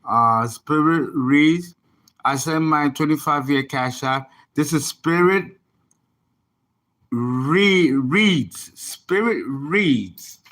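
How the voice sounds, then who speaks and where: A man's voice: a little high, extremely slow, a bit bright. A man, an astrologer and tarot reader, chatting casually on a livestream and rambling as he reads viewer comments aloud.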